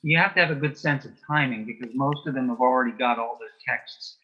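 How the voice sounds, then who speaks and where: A man with a dry, deadpan sense of humour, telling anecdotes and jokes as an interview guest, in a husky voice. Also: medium pitch, fast talker, muffled recording. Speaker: interview guest, author and former folklorist.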